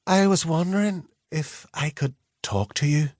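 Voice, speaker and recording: A man's voice: on the high side, measured pace, a little mellow, no room sound, studio quality, pitched above the performer's own voice, natural voice. A man voicing a shy young woman student, polite and hesitant, in an audiobook.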